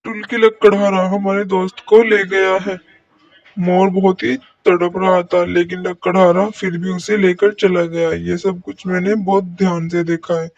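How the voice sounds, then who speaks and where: A high-pitched, gravelly cartoon wolf played by a man, loyal and worried, speaking in earnest declarations. The delivery stays flat, and the recording is dull and lo-fi. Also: a little slow. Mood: worried, resolute. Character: male wolf, worried loyal forest friend rallying others. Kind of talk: animated animal fable dialogue.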